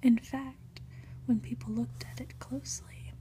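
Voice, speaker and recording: A woman whispering an ASMR reading of a story in a gentle, hushed manner, with soft asides. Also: on the high side, fairly even intonation, measured pace. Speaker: ASMR reader and host.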